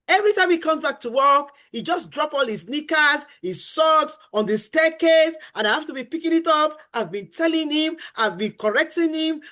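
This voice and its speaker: A middle-aged woman with a fairly high voice teaches about marriage on a faith-based talk show. She is earnest and emphatic, circling back to her main points. She acts out the complaints of husbands and wives as she goes. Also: fairly bright tone. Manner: earnest and emphatic, repeating key phrases and acting out spouses' complaints.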